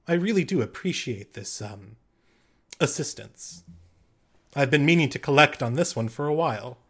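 A calm, sly alien agent, as a man puts him on, polite on the surface with quiet menace underneath. His voice sits in an ordinary middle register, unhurried and smooth. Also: mid-range voice.

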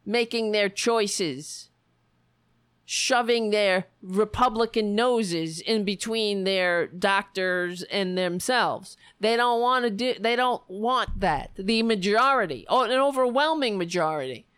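A woman hosting her own political show, hoarse from losing her voice. She rants in a sarcastic, scattered way, with a slow, drawn-out pace. Her voice is recorded dry and close, with no room sound. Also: mid-range voice, fairly bright tone, no echo. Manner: ranting, sarcastic, scattered asides. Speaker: political podcast host. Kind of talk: solo political commentary.

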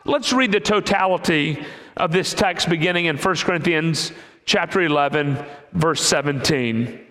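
A man's voice: on the high side, moderate pace, a bit bright. A man preaching a sermon to his congregation, conversational and asking them questions as he teaches. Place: church sanctuary. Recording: long hall reverb.

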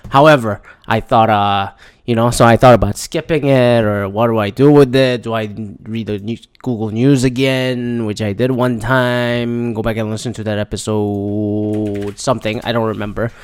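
Rambling, profane solo podcast host. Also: male voice, on the low side, steady tone, moderate pace, smooth. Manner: rambling, loose and profane, with frequent fillers and restarts. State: slightly rough, itchy throat. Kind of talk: casual solo monologue.

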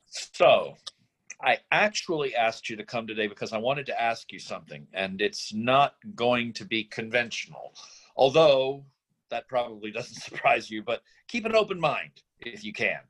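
A man acting a middle-aged, free-spirited father in a staged screenplay reading, earnest, effusive and persuasive, with halting phrases. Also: medium pitch, expressive, a bit bright, poor audio. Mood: tentative, earnest.

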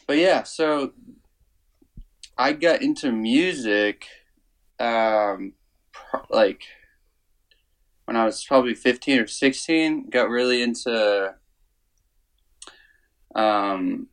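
A man in his twenties from Canada, a musician guest talking casually in an interview, low-key and hesitant, with many fillers and restarts. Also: slow pace, pauses often, smooth, poor audio. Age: 20s.

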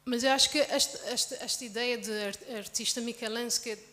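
A young woman speaks on a discussion panel, stumbling through repeated restarts as she searches for her point. Her voice sits somewhat high. A long hall reverb trails her words. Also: steady tone, sharp tone. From Ribeira Grande, Azores. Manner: halting, loses her thread, many restarts.

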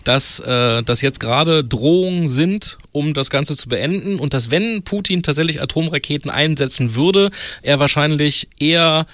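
Male radio panelist, somewhat higher pitch. Casual conversational delivery, very dry recording. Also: moderate pace, fairly bright tone, no echo. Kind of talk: casual chat.

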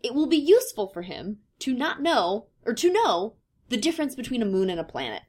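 A woman in her thirties co-hosting a podcast in casual chat, with a lower-pitched voice that slips into vocal fry and a thoughtful, hesitant way of talking with many ums. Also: sing-song, fairly quick, darkish tone. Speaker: podcast co-host.

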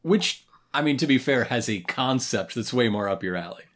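A podcast co-host in their thirties chatting casually in a review, often pausing mid-thought. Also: mid-range voice, animated, fairly bright tone. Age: 30s. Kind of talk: casual review chat about a cartoon.

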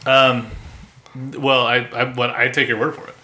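A man's voice: mid-range voice, flat delivery, moderate pace, sharp tone. A man co-hosting a podcast, talking in a casual, jokey way full of slang. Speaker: podcast co-host. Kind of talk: casual comedic chat.

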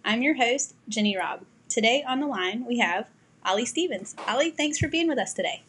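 A woman's voice: on the high side, expressive, brisk, fairly bright tone, slight background noise. A woman hosting a podcast interview, asking questions and giving brief, encouraging affirmations. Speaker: podcast host.

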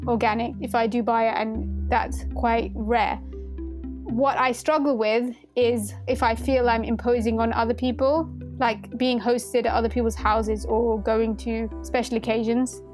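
A woman's voice: on the high side, level tone, unhurried, darkish tone, soft background music. A woman interviewee describing her feelings candidly and reflectively.

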